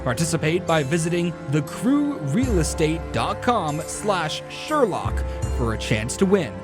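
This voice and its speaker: A man's voice: fairly high pitch, very animated, measured pace. An actor playing a genial male radio announcer who hosts an audio theatre show and prompts its storyteller. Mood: upbeat, curious. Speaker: announcer and host. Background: music.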